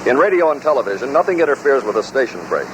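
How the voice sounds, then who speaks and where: A man's voice: mid-range voice, sing-song, rapid-fire. A man hosting a radio record with scripted vintage narration, on an old recording. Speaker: host of a radio bloopers record. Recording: old, noisy archive recording.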